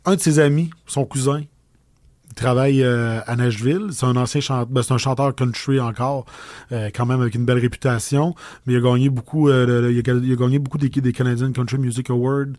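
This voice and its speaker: A man with a Québécois French accent, telling his story casually as an interview guest, with many pauses and restarts. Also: mid-range voice, steady tone, a little mellow, no echo.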